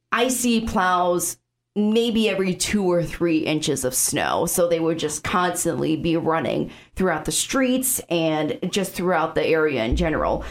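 A woman from the Chicago suburbs with a Chicago accent, hosting a studio radio interview and asking quick, conversational questions. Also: on the low side. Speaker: radio host.

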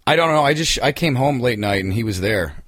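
Animated man in his thirties, chatting casually. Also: mid-range voice, fairly quick, no echo. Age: 30s. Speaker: podcast guest, filmmaker. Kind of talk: casual joking chat.

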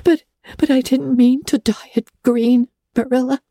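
A woman voicing a dramatic, imaginative orphan girl who speaks high, breathless and tearful, often dropping to a whisper. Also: fairly even intonation, measured pace, dark tone, no room sound, clean studio sound. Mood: pleading. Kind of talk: audiobook character reading.

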